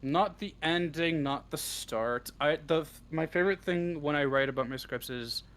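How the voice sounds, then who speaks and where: A man's voice: on the high side, normal speed, fairly bright tone. A man answering as the guest writer in a casual interview, hesitating and thinking aloud as he talks. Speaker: interview guest, audio roleplay script writer. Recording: clearly noisy background.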